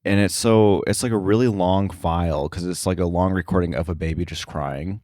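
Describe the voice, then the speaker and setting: A man's voice: low-pitched, moderate pace, a little mellow, no room sound, studio quality. A man chatting casually as an interview guest on sound editing work, hesitant and often thinking aloud. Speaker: podcast guest, sound effects editor.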